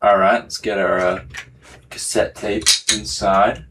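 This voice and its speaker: A man with a slightly low voice talks casually as he hosts a hands-on demonstration. The recording carries some faint noise and small clicks behind his words. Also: level tone, slow, a bit bright. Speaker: host of a tech demonstration video. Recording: clearly noisy recording with clicks.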